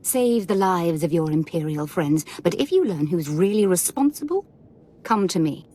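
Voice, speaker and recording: Husky, sweetly cruel Sith lady. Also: lowish voice, very animated, normal speed, mellow. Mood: imperious, menacing. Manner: sweetly mocking, imperious. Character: menacing, playfully sadistic female Sith lord. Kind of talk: scripted game dialogue.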